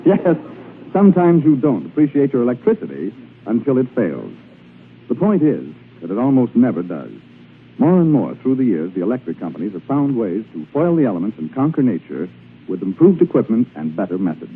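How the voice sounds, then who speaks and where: Animated American radio announcer, tinny old recording. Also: male voice, medium pitch, a little fast, slight background noise. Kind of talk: 1940s radio announcing and storytelling.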